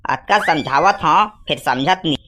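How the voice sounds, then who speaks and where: A man's voice: on the high side, moderate pace, pushed and bright. Man playing a scolding Chhattisgarhi village dad, noisy recording. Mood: exasperated. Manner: nagging, threatening. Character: grumbling, scolding village father of two boys. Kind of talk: cartoon comedy acting.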